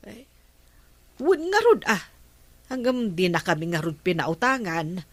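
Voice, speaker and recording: A woman playing a gossipy, grumbling village woman in an Ilocano comedy drama, with drawn-out exclamations. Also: on the low side, very animated, no echo. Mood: complaining.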